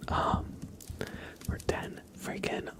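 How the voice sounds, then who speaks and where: A man hosting an ASMR livestream, whispering softly and casually close to the microphone, drawing out and repeating words. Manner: soft, drawn-out, repeats words slowly.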